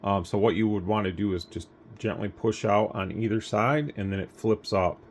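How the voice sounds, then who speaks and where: Low-pitched man, casual gear review. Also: moderate pace. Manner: rambling, thinking aloud. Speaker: product reviewer and host. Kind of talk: casual unboxing review, talking through thoughts. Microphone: phone, handheld. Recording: clear background noise, recorded on a phone.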